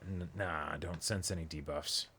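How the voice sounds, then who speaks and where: A man's voice: low-pitched, fairly even intonation, moderate pace, sharp tone, husky. A man casually reading a comic aloud and commenting on it as co-host of a read-along.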